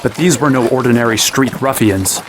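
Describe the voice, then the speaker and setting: A man's voice: medium pitch, normal speed, heavy background noise, natural voice. An actor playing a young man who narrates his own fantasy adventure as scripted first-person storytelling. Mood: reflective.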